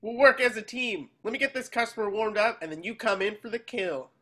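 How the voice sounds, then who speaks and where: A man's voice: high voice, lively intonation, a little fast, bright, forceful tone, exaggerated voice. A man voicing an earnest, eager, boyish cartoon sea sponge in a table read, coaxing as he talks.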